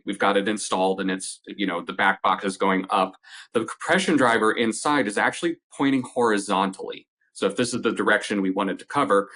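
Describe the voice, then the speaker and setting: A man with a fairly low voice speaks as a product expert in an interview. He explains technical details conversationally, in a measured way, restating key points for emphasis. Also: a bit bright. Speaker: company representative, audio product expert.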